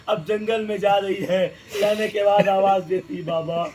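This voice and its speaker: A man delivering a mournful sermon recitation, speaking with deep emotion and addressing the gathering directly. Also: level tone, darkish tone, slight background noise. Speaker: preacher.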